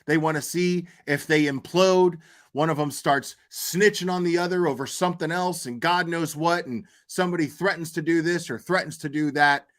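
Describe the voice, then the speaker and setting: A man's voice: a little high, steady tone, normal speed, fairly bright tone. A man giving unscripted, opinionated livestream commentary as a host, blunt, argumentative and coarse-mouthed, repeating phrases for emphasis.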